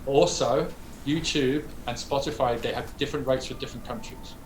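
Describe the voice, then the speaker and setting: A man, a podcast guest who works in music PR, explaining in a relaxed way with fillers and self-corrections. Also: medium pitch, fairly quick, roomy sound, a little hiss or hum, low-quality recording. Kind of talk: casual interview talk.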